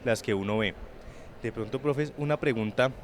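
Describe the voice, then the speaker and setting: A man's voice: mid-range voice, moderate pace, a little hiss or hum. A man hosting an interview about a book launch, chatty and digressive, asking long conversational questions.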